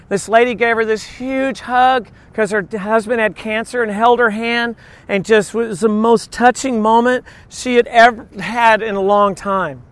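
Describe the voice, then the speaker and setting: A man giving an informal, conversational sermon, telling personal stories and acting out little quotes as he teaches. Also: high-pitched. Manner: informal, animated storytelling with acted-out quotes. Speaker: preacher.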